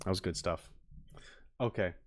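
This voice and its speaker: A man's voice: deep voice, moderate pace, no room sound. A man streaming casual reaction commentary, thinking aloud informally as he talks to chat.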